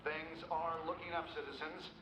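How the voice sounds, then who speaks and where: Man playing an upbeat, higher-pitched news announcer, roomy sound. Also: level tone, moderate pace, natural voice. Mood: upbeat, cheery. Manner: polished, upbeat, folksy. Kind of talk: scripted news broadcast in a video game. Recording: distant, roomy, noisy broadcast sound.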